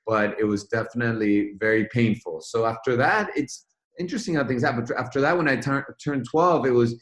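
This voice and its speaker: A man's voice: mid-range voice, a little fast, a bit bright. A man from Venezuela, a guest in an interview, telling his story in a reflective, rambling way with frequent restarts. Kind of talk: casual interview conversation.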